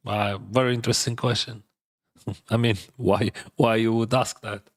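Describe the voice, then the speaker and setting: A man's voice: on the low side, flat delivery, normal speed. A man whose first language is Russian, thinking aloud in hesitant English as he plays and streams poker. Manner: hesitant, second-guessing, filler-heavy non-native English. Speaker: poker player streaming his games. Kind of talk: thinking aloud while playing online poker.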